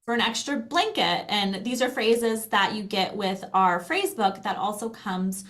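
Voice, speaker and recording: A woman's voice: medium pitch, expressive, a little slow, a bit bright. Chatty, encouraging American woman. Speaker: language program presenter and guest. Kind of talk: casual explanatory product demonstration.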